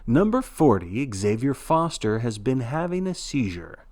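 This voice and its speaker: A man's voice: mid-range voice, sing-song, measured pace, a little mellow. A man playing a jovial, showy sports broadcaster who calls grim events with blithe, folksy cheer in play-by-play style. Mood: blithe, smooth. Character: jovial male sports broadcaster of a dystopian death march.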